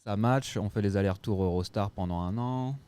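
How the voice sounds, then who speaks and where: Relaxed low-voiced French man. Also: a little fast, clear, resonant tone. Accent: French with a picked-up Québécois touch. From France. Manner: relaxed, lots of filler hesitations. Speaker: guest, co-owner of a restaurant. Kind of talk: casual storytelling chat.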